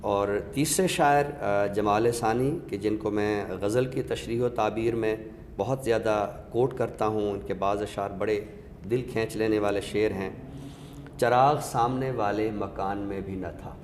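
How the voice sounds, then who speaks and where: A man with a Pakistani accent, giving a literary talk with recited verse in a measured, explanatory way with pauses. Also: lowish voice, steady tone, darkish tone. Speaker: host of an Urdu literature show. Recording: echoey reverberant room, faint noise.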